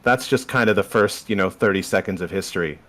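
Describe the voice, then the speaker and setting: A young Canadian man from Southern Ontario, a podcast guest laying out history in a measured, explanatory way. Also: deep voice, fairly bright tone. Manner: explanatory, measured, walks through history step by step. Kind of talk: casual discussion.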